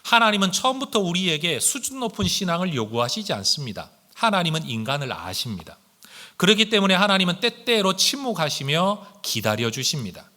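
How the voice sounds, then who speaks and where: A man's voice: high-pitched, very animated, a little fast, bright, forceful tone. A male pastor preaching a Sunday sermon, speaking earnestly and addressing the congregation with rhetorical questions. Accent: standard Korean, with regional intonation slipping in when speaking fast.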